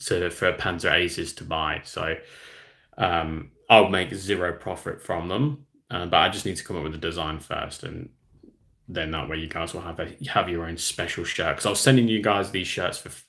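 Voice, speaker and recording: A low-voiced man talks loosely to his livestream viewers, rambling between ideas and reading out comments. His voice sits deep, with a gravelly, fry-laden edge. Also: fairly even intonation, moderate pace, a bit bright. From Australia. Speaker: hobby channel host. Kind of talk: casual livestream chat.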